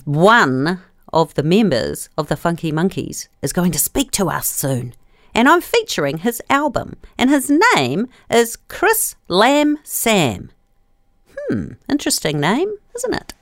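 A woman's voice: on the low side, no echo, studio quality. A woman in her fifties hosting a children's radio show, chatty and playful. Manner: chatty, playful, sing-song. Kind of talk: casual presenting to children.

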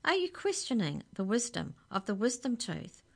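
A woman's voice: on the high side, very animated, moderate pace, vocal fry, pitched above the performer's own voice, exaggerated voice. Woman playing a stern, questioning great owl.

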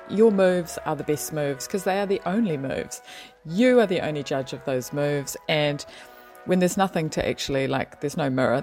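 A woman's voice: low voice, darkish tone. A woman, rambling playfully in a casual monologue and laughing often. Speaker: lockdown diarist.

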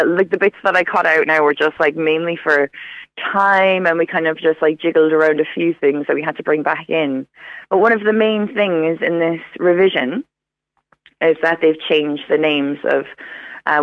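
A woman's voice: deep voice, dry recording. A woman chatting casually and enthusiastically as a radio interview guest over a dull phone line, sprinkling her talk with "like". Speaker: radio interview guest, Irish studies academic. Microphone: phone call.

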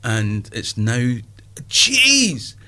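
Scottish man, 50s, stand-up comic guest; rambling, self-mocking, mid-pitched. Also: huge pitch swings, extremely slow, bright, forceful tone. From Scotland. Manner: chatty, self-deprecating, many restarts and asides. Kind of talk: casual interview chat.

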